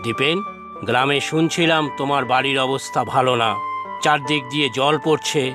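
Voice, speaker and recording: A man voicing a calm, caring village council chief in a cartoon, speaking in a measured, admonishing, dignified way. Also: mid-range voice, over music, close to an everyday voice. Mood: concerned. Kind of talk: Bengali cartoon dialogue.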